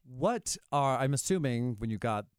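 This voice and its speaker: A man's voice: mid-range voice, animated, moderate pace, clean tone, dry recording, clean studio sound. A man hosting a casual panel interview, putting questions to his guests. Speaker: podcast host.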